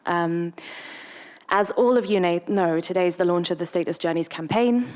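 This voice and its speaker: Female panel moderator, lower-pitched voice, earnest and enthusiastic, addressing a room informally. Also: normal speed, darkish tone, clear, resonant tone. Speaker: campaign coordinator and panel moderator. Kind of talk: informal public panel introduction.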